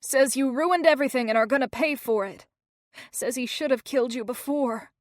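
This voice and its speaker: A scared teenage girl on the run, as a woman reads her, talking in rough, broken grammar. Her voice sits high and tight in a dry, close storybook reading. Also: moderate pace, no echo, close to an everyday voice. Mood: frightened. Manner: rough, ungrammatical speech. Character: frightened teenage girl, battered runaway.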